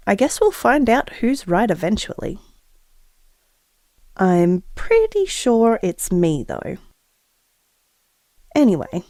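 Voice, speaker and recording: A woman playing a gentle, quietly confident expectant mother in an intimate audio drama, confiding in a thoughtful, measured way. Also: medium pitch, no echo, studio quality. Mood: wryly certain.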